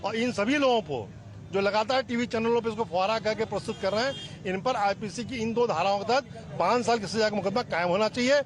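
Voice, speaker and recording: A man with a North Indian accent making a forceful, indignant press statement outdoors among a crowd and traffic. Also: high voice, very fast. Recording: noisy crowd and street traffic.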